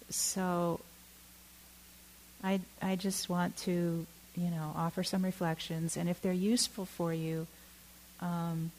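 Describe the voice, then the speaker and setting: A middle-aged woman from Oakland giving a reflective talk, halting and candid, with many fillers and self-corrections. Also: on the low side, darkish tone, poor audio. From Oakland, California. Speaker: dharma talk speaker.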